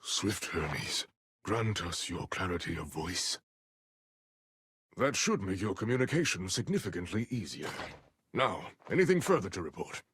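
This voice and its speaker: An actor playing a commanding, thoughtful older Spartan mentor in a video game, with a husky voice and measured, formal, theatrical delivery. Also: male voice, deep voice, sing-song, a bit bright, no echo, exaggerated voice. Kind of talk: cinematic video game acting.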